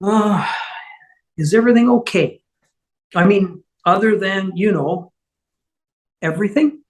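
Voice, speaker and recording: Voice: medium pitch, measured pace, lightly acted. An amateur actor on a video call table read, playing a cheery, wistful leader resigned to doom. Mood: awkward, gentle. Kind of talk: fan table read of TV comedy script.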